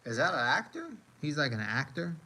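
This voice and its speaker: A man's voice: a little high, huge pitch swings, moderate pace, sharp tone. A man from the United States chatting casually on a live stream as he reacts to videos, rambling and repeating his phrases with many fillers.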